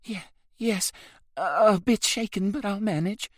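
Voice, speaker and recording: Frightened young heroine, trembling and high, as a male reader puts her on. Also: expressive, normal speed, breathy edge, no room sound, clean studio sound. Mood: anxious. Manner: anxious, shaky.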